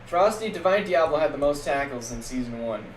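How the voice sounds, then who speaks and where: A young man streaming casual, jokey play-by-play of a simulated football league, getting loud on big plays. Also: on the high side, expressive, fairly quick. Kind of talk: live-stream game commentary. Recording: echoey room with faint background noise.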